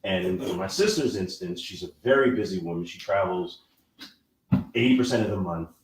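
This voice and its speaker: A man's voice: low-pitched, lively intonation, normal speed, reverberant, distant mic, rough recording. A man hosting a podcast, lecturing in an emphatic, preachy way during a casual discussion. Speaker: podcast host.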